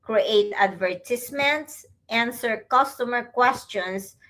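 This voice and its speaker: A woman's voice: fairly high pitch, slow pace, a bit bright. A woman from the Philippines co-hosting a casual live stream, chatty and sometimes searching for words. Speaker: co-host.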